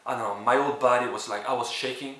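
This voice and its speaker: A man from Florence, telling a personal story in a halting way, searching for words. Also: medium pitch, steady tone, sharp tone, echoey room, distant mic. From Florence, Italy. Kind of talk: personal story told to camera.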